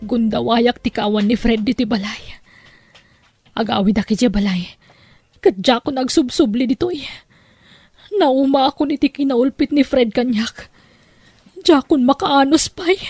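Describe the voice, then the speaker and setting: A woman's voice: a little high, unhurried, long pauses, a little mellow, slightly rough, no echo, clean studio sound. A woman playing a long-suffering, distressed wife in an Ilocano audio drama, emotional and pleading. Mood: weary, anxious.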